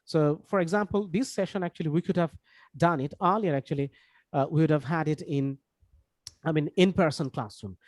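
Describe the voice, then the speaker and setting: A man from Bangladesh with a Bangladeshi accent, a Bengali speaker lecturing informally in English as a course instructor, explaining haltingly with frequent asides. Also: a little high, dry recording.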